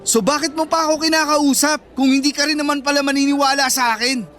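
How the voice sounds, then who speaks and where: A man's voice: very high-pitched, fairly even intonation, fast talker, fairly bright tone, faint music behind. A man acting a fed-up but protective teenage boyfriend in a Filipino radio drama, emphatic and scolding. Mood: exasperated.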